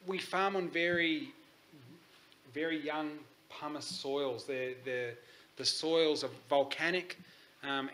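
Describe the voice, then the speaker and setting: A man's voice: medium pitch, fairly bright tone, a little hiss or hum, rough recording. Hesitant Australian man giving a talk. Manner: hesitant, many ums. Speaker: conference speaker. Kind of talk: conference presentation. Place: conference hall.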